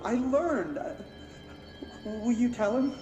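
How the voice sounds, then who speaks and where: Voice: medium pitch, lively intonation, slow pace, lo-fi sound. An actor playing a sullen, unstable captive man in a game, needling the person who evaluates him. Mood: wounded, pleading. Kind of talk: game cutscene drama. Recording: echoey room, music behind.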